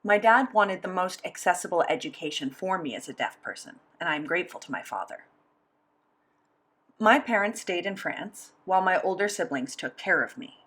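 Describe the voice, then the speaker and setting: A woman voicing a sign language interview as interpreter, speaking in a measured, steady first-person delivery. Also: lowish voice.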